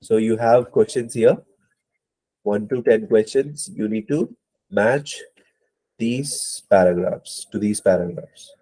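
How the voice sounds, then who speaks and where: A man's voice: fairly low pitch, takes it slowly, warm tone. A man with a cold teaching an online exam-prep class, hesitant, with many fillers and apologies. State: hoarse from a cold. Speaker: IELTS instructor.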